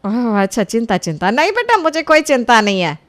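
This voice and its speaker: A woman acts as a naive, easily muddled older mother-in-law in a comic cartoon. The voice sits fairly high and is full of puzzled exclamations. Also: sing-song, brisk. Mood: flustered. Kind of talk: Hindi comic cartoon acting.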